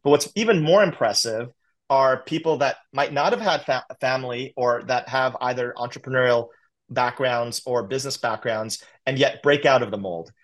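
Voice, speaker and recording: A man moderating a fireside chat, working his way haltingly through long, wordy questions. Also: mid-range voice, a bit bright. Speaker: interview host, moderator.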